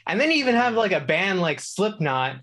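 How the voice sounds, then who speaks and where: A man's voice: sits high, big pitch swings, fairly quick, sharp tone. A man speaking as a show guest, explaining things casually and thinking aloud. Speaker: video game contributor, show guest.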